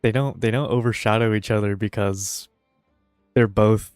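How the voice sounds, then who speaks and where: A man's voice: lowish voice, fairly even intonation, normal speed, a little mellow, no echo, studio quality. A man streaming a game and chatting casually, rambling in a hesitant, laid-back way as he thinks aloud. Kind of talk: casual livestream chat while gaming. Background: faint music.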